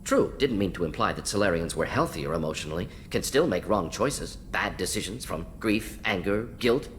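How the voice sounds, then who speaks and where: A brisk, rational alien scientist from a video game, talking in clipped, telegraphic bursts. His low-leaning voice swings up and down with animated intonation. Faint ambient noise sits behind him. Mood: reflective, analytical. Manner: clipped telegraphic phrasing, matter-of-fact. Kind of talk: video game dialogue acting.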